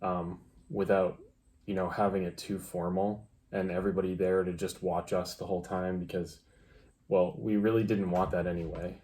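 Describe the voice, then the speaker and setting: Young man, deep flat voice. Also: normal speed. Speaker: vlog co-host. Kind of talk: casual storytelling to camera.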